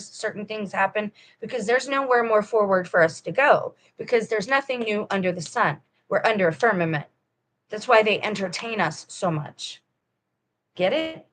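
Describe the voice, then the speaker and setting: A woman's voice: mid-range voice, fairly bright tone, a little hiss or hum. Emphatic woman vlogging. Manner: emphatic, rambling, talks straight to viewers; firm and preachy. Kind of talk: casual monologue.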